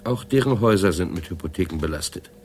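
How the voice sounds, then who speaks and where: An actor playing a deferential, methodical assistant who explains things politely in a 1980s radio crime play. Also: male voice, low-pitched, expressive, fast talker, a little mellow. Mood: polite, businesslike. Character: deferential, methodical assistant presenting computer findings.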